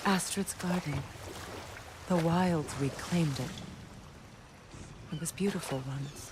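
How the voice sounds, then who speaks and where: A woman playing a proud, wistful Norse goddess and weary former queen in a video game, speaking in a measured, solemn way. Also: low-pitched, noisy background. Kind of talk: dramatic video game acting.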